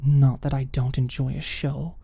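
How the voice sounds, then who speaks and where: A woman's voice: a bass voice, little pitch movement, moderate pace, creaky, dark tone, husky, no echo, full character voice. A woman voicing a proud, prim young woman in an audiobook, defensive yet smitten. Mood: scoffing. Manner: scoffing, earnest, giggly on the phone. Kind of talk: audiobook character dialogue.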